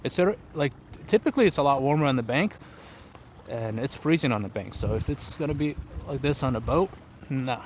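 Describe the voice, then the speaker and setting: A man's voice: mid-range voice, expressive, fairly quick, highs cut off, a little hiss or hum. A young man from Ukraine who learned English later, chatting casually and informally as he hosts an outdoor fishing livestream and answers viewers. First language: not English, learned it after moving to the US. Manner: informal, switches between English and Russian. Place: outdoors on a riverbank in wind.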